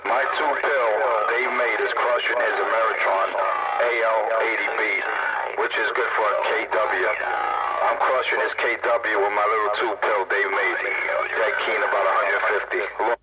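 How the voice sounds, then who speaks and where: Through a muffled CB radio with loud noise comes a man trading casual banter with other operators. He boasts in street slang and likes to say things over again. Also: lowish voice, steady tone, normal speed. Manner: boastful, slangy, repeats himself. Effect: CB radio transmission. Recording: noisy muffled CB radio with vehicle sounds.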